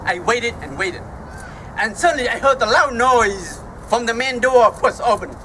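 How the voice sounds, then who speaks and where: A man in his fifties with a Vietnamese accent delivers a formal speech at an outdoor ceremony, slow and halting with weighty pauses. His voice sits very high and sounds bright. Faint traffic noise hums behind him. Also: lively intonation, rough recording. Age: 50s. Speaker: guest speaker.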